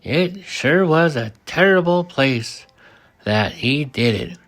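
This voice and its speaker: An amateur narrator reads a children's story aloud with theatrical animation. The pace is very slow and halting, and the pitch moves up and down a great deal. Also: animated, a bit bright. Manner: halting, stumbling over words. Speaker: amateur audiobook reader.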